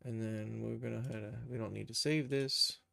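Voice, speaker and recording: A man with a lowish, gravelly voice hosts a casual screen-share tutorial. He thinks aloud as he works, informal and unscripted, in a very dry-sounding recording. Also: steady tone, normal speed, bright, forceful tone, dry recording. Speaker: streamer, game developer and animator.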